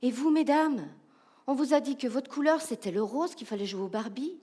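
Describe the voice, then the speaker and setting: Lively Belgian woman giving a keynote. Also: high voice, normal speed, breathy edge. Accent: Belgian French. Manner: animated, humorous, rhetorical questions to the audience. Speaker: keynote speaker, professional coach.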